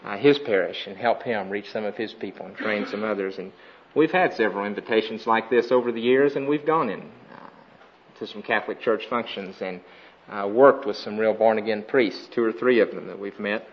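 A man with a somewhat low voice gives an earnest mission report to a conference audience. He talks steadily, pausing often on "uh". The recording sounds dull and lo-fi. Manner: earnest, steady, frequent "uh" pauses. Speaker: missionary speaker.

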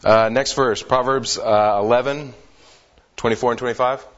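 A man's voice: medium pitch, steady tone, normal speed. A man leading a group Bible study in a casual way, often repeating words as he gathers his thoughts. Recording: dull lo-fi sound.

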